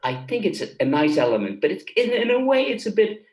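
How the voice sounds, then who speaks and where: A man's voice: sits high, very animated, quick pace, a little mellow. A man from Germany talking casually as an interview guest, explaining things with enthusiasm as a film director and composer. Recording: roomy, echoey sound.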